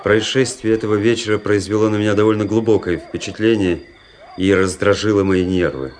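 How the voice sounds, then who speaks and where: An actor playing a weary, cynical young army officer who narrates a radio drama in a measured, introspective way. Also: male voice, lowish voice, fairly even intonation, darkish tone, lightly acted. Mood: brooding, weary. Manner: introspective, world-weary, measured literary delivery. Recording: music under the voice.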